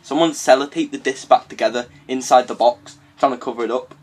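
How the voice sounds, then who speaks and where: A young adult British man making a casual prank call in a halting, hesitant manner. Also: mid-range voice, steady tone, slightly rough. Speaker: prank caller.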